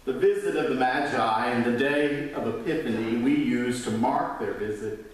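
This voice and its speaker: A man's voice: mid-range voice, moderate pace, slight background noise. A middle-aged man, a pastor preaching a sermon at the pulpit, swelling up and then dropping to a near whisper. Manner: gestures widely at the pulpit. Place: large church sanctuary. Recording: echoey church from a distance.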